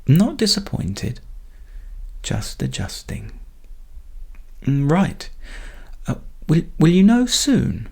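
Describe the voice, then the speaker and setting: A man acts out a punctual little city animal from a bedtime storybook. He is courteous and careful, a touch fussy, speaking in a lifted pitch with a gravelly, husky grain. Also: wildly swooping pitch, slow pace, pauses often, darkish tone, heavily acted. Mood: adjusting, hesitant. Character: polite, precise, punctual city animal watchmaker, male.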